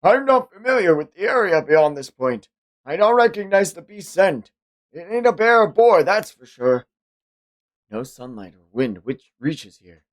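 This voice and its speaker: A man puts on a confident hunter from a manga, out in the wilds. The delivery is gruff and commanding, in short terse phrases at a measured pace. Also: high voice, very animated, voice pushed up. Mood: wary.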